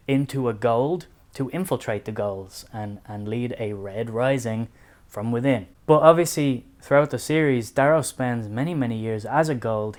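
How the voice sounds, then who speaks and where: A man with an Irish accent giving a casual book review to camera, speaking in an earnest, reflective and personal way. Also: medium pitch.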